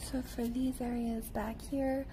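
A young woman speaking softly as she narrates an ASMR drawing tutorial as an illustrator. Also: fairly high pitch, flat delivery, slow. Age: young adult. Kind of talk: soft-spoken ASMR art tutorial. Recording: noisy, with paper and pencil sounds. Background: noise, writing and paper sounds.

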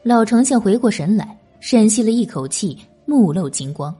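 A woman narrating a historical novel as an audiobook, in an expressive, literary storytelling manner. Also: medium pitch, moderate pace, breathy edge, faint music behind.

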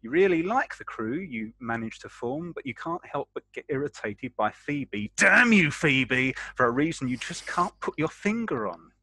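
A man with a bright, forceful voice reads out a character background for a tabletop game. The sound is dry and close, with no room on it. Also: mid-range voice, sing-song, moderate pace, few pauses, husky, no echo. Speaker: player. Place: indoors at home, online video call.

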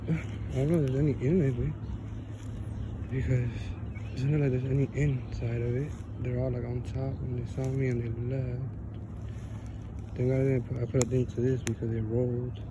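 A young man in his twenties chatting casually to his phone camera outdoors by a lake, rambling and getting excited. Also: mid-range voice, moderate pace, long pauses. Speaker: vlogger. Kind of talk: casual vlog narration. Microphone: phone held in hand. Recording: loud outdoor noise, traffic.

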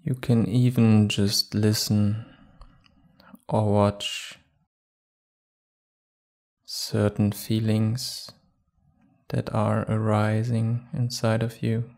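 A man guiding a meditation calmly and without hurry, leaving long pauses between short phrases. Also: lowish voice. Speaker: meditation guide. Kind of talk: guided meditation.

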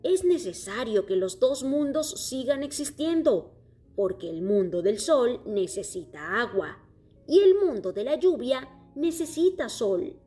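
A woman voicing a wise, worried king from another planet in a children's story, speaking slowly and earnestly. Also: on the high side, very animated, mellow, breathy edge, faint music behind, pitched above the performer's own voice, exaggerated voice. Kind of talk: storybook character voice.

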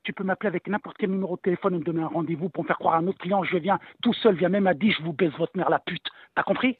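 A man's voice: high voice, very fast, slightly rough, no room sound. A man on a phone call, aggressive and threatening, cursing and swearing heavily. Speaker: seller pranked on the phone. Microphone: heard over a phone call. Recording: dull lo-fi phone line.

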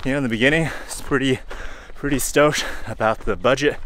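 A man in his forties, hot and sweaty, talking casually to a handheld camera while hiking outdoors, relaxed and rambling with fillers. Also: medium pitch, brisk, a little hiss or hum. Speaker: hiking vlogger. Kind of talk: casual vlog talk to camera. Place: outdoors on a forest trail. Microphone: handheld camera held at arm's length.

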